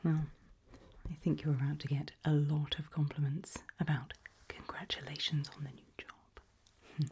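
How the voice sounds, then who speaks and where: A woman in an ASMR roleplay as a politely sinister HR interviewer, whispering and murmuring softly in a deliberate, measured way with pauses. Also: little pitch movement, slight background noise. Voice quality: breathy whisper with soft low murmurs. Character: calm, politely sinister HR interviewer at an evil organisation.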